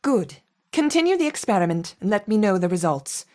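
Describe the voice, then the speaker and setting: Woman acting a lively, commanding lead scientist. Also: mid-range voice, brisk, lightly acted. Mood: approving, cautious. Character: authoritative female lead scientist overseeing experiments. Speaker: research supervisor character. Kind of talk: video game character acting.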